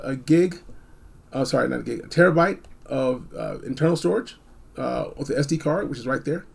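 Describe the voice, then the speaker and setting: A man hosting a casual, unscripted gadget review, talking in an animated, emphatic way and repeating phrases for effect. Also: medium pitch, creaky, breathy edge. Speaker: product reviewer hosting a video.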